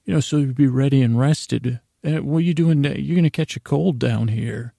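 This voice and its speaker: A middle-aged man hosting a bedtime podcast, rambling whimsically in a creaky voice, often halting and losing his thread. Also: medium pitch, no room sound, clean studio sound. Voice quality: creaky, gravelly, mellow voice. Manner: meandering, halting, trails off mid-thought, whimsical. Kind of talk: rambling, improvised bedtime monologue to listeners.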